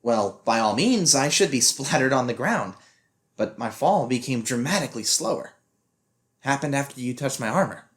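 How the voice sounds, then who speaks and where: A man's voice: mid-range voice, expressive, moderate pace, natural voice. A man playing an awkward, unsure young novice fighter in a fan audio drama, hesitant and sheepish. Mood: awkward, amused.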